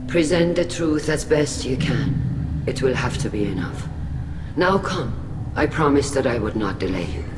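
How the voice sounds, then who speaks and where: An actor playing a solemn alien elder admiral who presides over a trial in a formal, measured, ceremonial way in a video game. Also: male voice, medium pitch, very animated, background noise. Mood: calm, reassuring.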